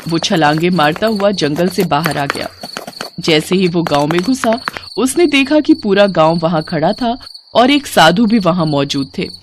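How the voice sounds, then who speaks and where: A woman's voice: lowish voice, lively intonation, measured pace, noisy background. A woman storyteller giving a dramatic scripted narration of a story.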